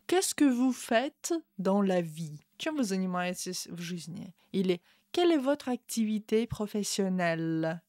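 A young woman teaching a language lesson. She says the example phrases slowly and carefully and explains them more quickly. She speaks into a studio mic in a small room. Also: medium pitch, a little mellow, no room sound. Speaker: French teacher. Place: small room with a window, indoors. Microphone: studio mic in front of her.

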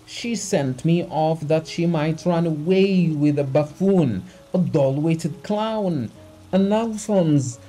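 A man voicing a distraught, betrayed gentleman in a dramatized audiobook, crying out in anguished, repeated exclamations. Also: fairly high pitch, slow pace, faint music behind, pitched above the performer's own voice, poor audio. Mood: hysterical anguish.

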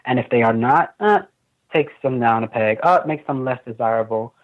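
A young man with a fairly high voice, speaking thoughtfully as an interview guest with many fillers. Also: normal speed. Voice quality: relatively high voice. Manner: thoughtful, frequent fillers, laughs easily. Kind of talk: casual conversation. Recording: tinny phone-like video call audio.

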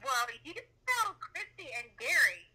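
High, very sharp woman on the telephone. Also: huge pitch swings, unhurried. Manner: questioning, skeptical. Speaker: prank call recipient. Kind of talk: casual phone conversation. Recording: voice over a phone speaker.

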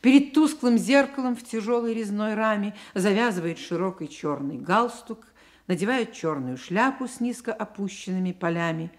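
A woman narrating a radio drama about a poet's life as an expressive literary storyteller. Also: mid-range voice, moderate pace, natural voice. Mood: expressive storytelling.

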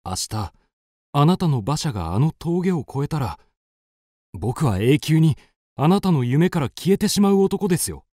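A man's voice: a little high, sing-song, normal speed, mellow, no room sound, clean studio sound. An actor playing a courteous, wistful and persuasive male traveller in a radio drama, polite and poetic. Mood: earnest, wistful.

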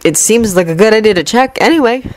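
A woman voicing a wary teenage schoolboy wizard who speaks plainly and quietly. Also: mid-range voice, animated, fast talker, dry recording, close to an everyday voice.

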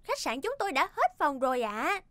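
A woman's voice: high voice, big pitch swings, fairly quick, no room sound, studio quality. A woman playing a flustered, polite hotel receptionist in a cartoon, hesitant and deferential. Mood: apologetic. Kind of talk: comic cartoon dubbing. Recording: children's music behind the voice.

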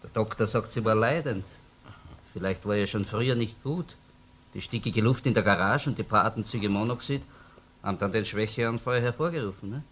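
Down-to-earth Viennese police officer male actor for a crime radio play, low-toned, colloquial, reporting plainly, faintly noisy old recording. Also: fairly quick, a bit bright, natural voice. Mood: matter-of-fact. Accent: Viennese Austrian. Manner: colloquial, matter-of-fact reporting. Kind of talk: German-language crime radio drama acting.

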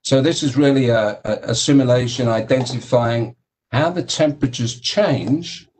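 A man's voice: medium pitch, a little mellow, a little hiss or hum. Male professor giving a conference keynote, hesitant delivery full of fillers, mid-range voice. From United Kingdom. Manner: halting, many fillers and repeated words. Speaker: keynote speaker, engineering professor. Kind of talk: technical conference presentation.

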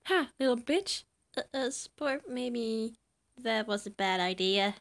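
A woman's voice: a little high, expressive, slow pace, gravelly, heavily acted. A woman voicing a cocky, crude, teasing man with a drawl in an amateur comic dub. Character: cocky teasing adult man, crude, calls friend old sport.

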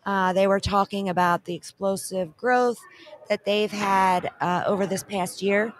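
A middle-aged woman co-hosts a wrap-up from an expo booth set, speaking into a handheld mic. Her voice sits at a middle pitch, and she talks in a bright, promotional way full of thank-yous and shout-outs. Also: fairly even intonation, unhurried. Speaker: co-host. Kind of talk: event wrap-up chat.

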